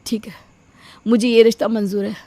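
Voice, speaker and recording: A woman voicing a reluctant, earnest young man, a dutiful son, in an animated story. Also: a little high, normal speed, no room sound. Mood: resigned, pleading. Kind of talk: Hindi animated story acting.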